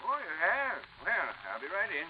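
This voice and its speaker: A mild, eccentric old research chemist for 1940s radio. His highish voice swings up and down a great deal as he dithers and repeats himself. The recording is dull and old. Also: big pitch swings, moderate pace. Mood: distracted. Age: older. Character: eccentric, absent-minded, gentle older research chemist husband.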